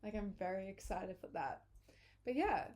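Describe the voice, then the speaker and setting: A young woman from England talks in a loose, chatty way. She drifts between thoughts and stops to fix her own words. Her voice is a touch hoarse, as if she is losing it. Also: medium pitch, expressive, moderate pace, darkish tone. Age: early 20s. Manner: chatty, rambling, corrects herself. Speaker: vlogger. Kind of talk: casual chat to camera.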